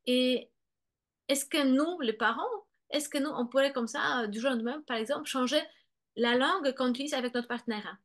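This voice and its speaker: A woman with a somewhat high voice talks through an expert explanation in conversation. She hesitates often, restarts her sentences and fills the gaps with small sounds. Now and then she slips into the voices of children and parents to show her point. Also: fairly quick. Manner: hesitant, many fillers and restarts; acts out children's and parents' words. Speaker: guest expert on bilingual children.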